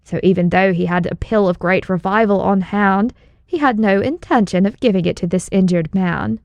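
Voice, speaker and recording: A woman's voice: mid-range voice, normal speed, a little mellow, no echo, dull audio. Woman narrating an audiobook, very dry sound. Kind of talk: scripted story narration.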